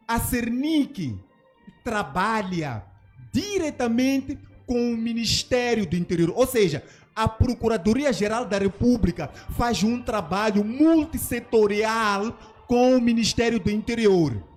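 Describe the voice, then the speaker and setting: Slow careful commentator breaking things down, asks rhetorical questions, faint music under it. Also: mid-range voice, a little mellow. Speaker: studio commentator. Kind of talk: measured analysis.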